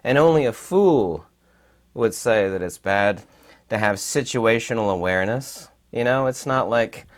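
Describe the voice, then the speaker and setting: A man with a raspy, hoarse voice while recovering from illness, giving rambling, emphatic commentary with many fillers. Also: fairly low pitch, animated, measured pace. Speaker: channel host. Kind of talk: casual commentary to camera.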